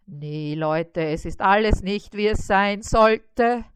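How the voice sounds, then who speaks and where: A woman voicing a grumpy, lazy male spider who grumbles slowly and wearily. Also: medium pitch, smooth, no room sound, pitched above the performer's own voice, lightly acted. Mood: grumbling. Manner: grumbling, slow and weary.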